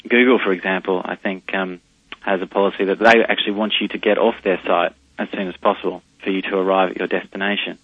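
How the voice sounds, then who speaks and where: A man's voice: low-pitched, fairly even intonation, fast talker, slightly rough, dry recording. A man with an Australian accent, a company CEO interviewed over the phone on a radio show, talking in a hesitant way with many fillers and restarts. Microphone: telephone line. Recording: muffled lo-fi phone line.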